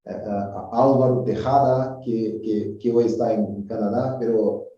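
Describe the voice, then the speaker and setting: A man with a Brazilian Portuguese accent chats as a guest over a remote call, speaking Spanish as a second language. He talks in a halting way, repeating words as he searches for them. His voice is very dark and mellow, and it sounds distant and muffled in a strongly echoing room. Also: fairly low pitch, fairly even intonation. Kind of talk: casual interview chat.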